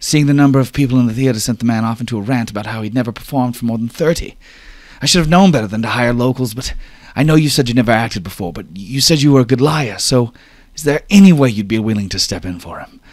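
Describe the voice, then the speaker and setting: A man voicing Cadmus, a panicked, bossy young male stage director, in a high, loud, rushed voice. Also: lively intonation, dry recording, clean studio sound, natural voice. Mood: urgent pleading.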